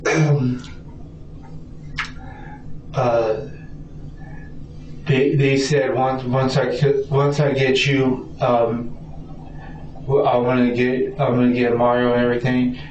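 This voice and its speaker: A man haltingly recounts an incident in a police interview, stammering, repeating himself and acting out dialogue in fragments. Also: mid-range voice, little pitch movement, a little mellow. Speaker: suspect being questioned by police. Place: indoor police interview room. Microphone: distant room recording. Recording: echoey room, distant muffled mic, noisy.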